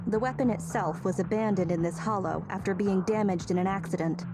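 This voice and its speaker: A woman acting as a disciplined female soldier from a video game, stern and guarded. Her delivery is clipped and formal, military to the core, at a medium pitch. Loud music plays behind her. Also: fairly quick, close to an everyday voice. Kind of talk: video game story dialogue.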